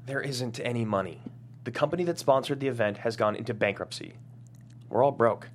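A man's voice: medium pitch, fairly quick, lightly acted. A man playing a stressed, foul-mouthed manager of a young gaming team at a comedy table read, exasperated and blustering. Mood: furious, exasperated.

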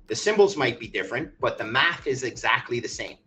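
A man from Canada speaks as an expert guest on a podcast. His voice sits at a middle pitch and he leans hard on key phrases with an evangelizing zeal. Faint music plays behind him. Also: very animated, bright, forceful tone. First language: English. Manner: emphatic, evangelizing, drawn-out stress on key phrases. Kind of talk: animated interview talk.

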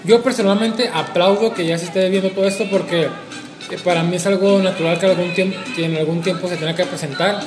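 A man's voice: high voice, fairly even intonation, a little fast, crowd noise. Hesitant man in an echoey room. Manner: hesitant, searching for words, joking. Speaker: co-host. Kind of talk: casual panel discussion. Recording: echoey room with music behind.

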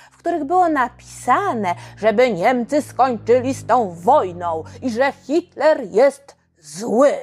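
A woman's voice: high-pitched, a little mellow, breathy edge. A woman narrating history for children in a dramatic, explanatory storytelling style, speaking directly to listeners. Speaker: podcast narrator.

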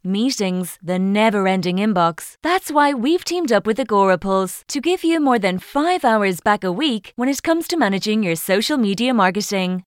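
A woman's voice: medium pitch, no echo, clean studio sound. A woman giving an upbeat, polished sponsor read. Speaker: sponsor announcer. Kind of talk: scripted ad read.

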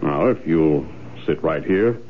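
An actor playing a polite, evasive assistant bank manager, hesitant and placating, in 1970s radio drama style. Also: male voice, extremely low pitch, expressive, slow, full character voice. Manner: hesitant, smoothly formal, placating. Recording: tinny, noisy old radio broadcast.

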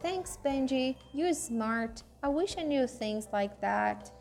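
A woman's voice: high voice, animated, takes it slowly, vocal fry, a little mellow, faint music behind, heavily acted. A woman voicing Humph, a sleepy, hungry, grumpy male toy hippo in a children's storybook, with a grumbling drawl.

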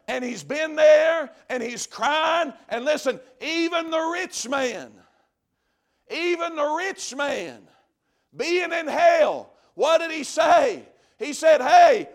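High, gravelly preacher shouting. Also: male voice. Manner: shouting, drawn-out emphatic preaching with repeated phrases. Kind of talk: fiery revival sermon.

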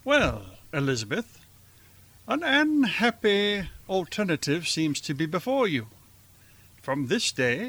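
An actor playing a weary middle-aged father and husband in a radio drama, deadpan and dryly ironic. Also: fairly high pitch, exaggerated voice. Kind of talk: theatrical radio drama acting.

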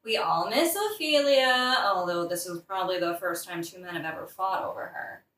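A woman's voice: lowish voice, normal speed, fairly bright tone, close to an everyday voice. A woman playing an exasperated, pleading queen in a modern comedic audio play. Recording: echoey, far from mic.

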